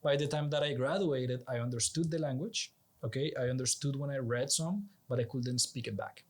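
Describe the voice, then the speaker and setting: A man's voice: medium pitch, moderate pace. A man from Colombia, a native Spanish speaker with a Colombian accent, talking as an interview guest in an earnest, persuasive, conversational way. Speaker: interview guest, executive director. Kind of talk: conversational explanation.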